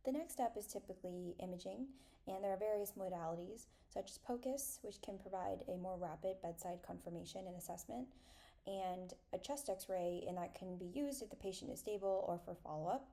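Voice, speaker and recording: A young adult woman giving a scripted academic medical presentation in a measured, explanatory way. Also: mid-range voice, warm tone.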